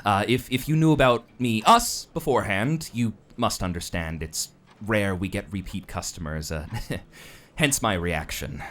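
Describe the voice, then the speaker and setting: A man's voice: lowish voice, measured pace, fairly bright tone, slight background noise. A man playing a courteous but nervous, evasive tavern keeper in a fantasy audio drama. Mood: flustered, evasive. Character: polite, flustered, evasive male tavern keeper.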